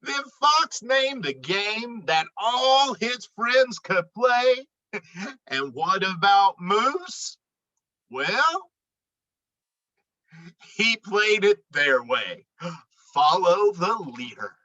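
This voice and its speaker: Slow, very bright woman reading a storybook. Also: mid-range voice, very animated, poor audio. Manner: dramatic, deliberate storytelling. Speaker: children's book reader. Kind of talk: expressive storybook read-aloud.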